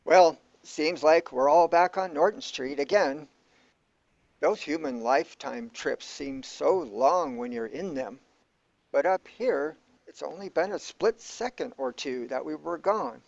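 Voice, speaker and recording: A man's voice: on the high side, unhurried, vocal fry, a little mellow, no room sound. A man playing a laid-back, philosophical character who explains things matter-of-factly in an amateur audio drama. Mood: explaining. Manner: casual, philosophical, unfazed. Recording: dull lo-fi dry recording.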